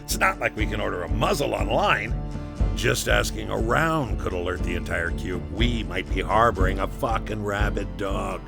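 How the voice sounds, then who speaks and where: A guilt-worn camp inmate in a gritty audio drama. His voice is low and full of gravel, and he confesses haltingly, brooding and swearing, with music running underneath. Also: lively intonation. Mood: bitter. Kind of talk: first-person confessional audio drama monologue.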